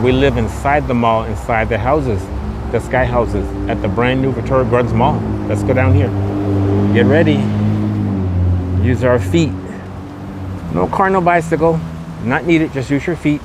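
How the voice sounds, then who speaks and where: A man hosting a casual walking tour outdoors, talking in repetitive promotional patter. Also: mid-range voice. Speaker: podcast host and walking tour guide. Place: outdoors on a shopping mall street. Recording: noisy outdoor street with passing cars.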